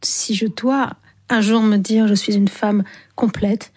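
A woman in her fifties from Algeria speaks as an interview guest, her voice warm and relaxed rather than bright. She talks in a reflective, unhurried way, stopping often on soft hesitations as she gathers her thoughts. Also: mid-range voice, breathy edge. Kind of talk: reflective personal storytelling in an interview.

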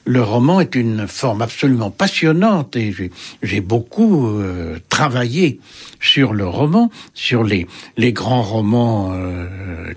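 Older man, husky and lively. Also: mid-range voice. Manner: musing, hesitant, with frequent fillers and restarts. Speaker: interviewed writer. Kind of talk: reflective interview answers.

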